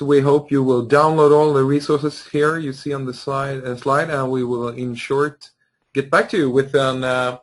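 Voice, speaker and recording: A man with a Swedish accent hosts a webinar, speaking politely and often pausing on "um" fillers. His pitch is ordinary and his delivery courteous. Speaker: webinar host. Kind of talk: closing a webinar.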